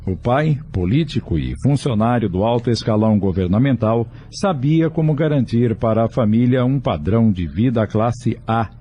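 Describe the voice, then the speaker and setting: Mellow, animated male radio announcer. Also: medium pitch, normal speed, few pauses, slightly rough, dry recording, lo-fi sound. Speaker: announcer and narrator. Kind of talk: scripted radio announcing and story narration.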